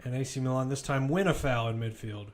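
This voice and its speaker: Male, football commentator, live play-by-play, mid-pitched voice, clipped running phrases, present-tense description. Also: a little fast.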